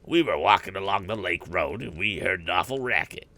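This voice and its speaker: A man's voice: low-pitched, a little fast, no room sound, exaggerated voice. A man voicing nosy, demanding teenage boys, a small-town bully and his cronies, in a storybook reading. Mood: eager, demanding. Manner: demanding, excitable.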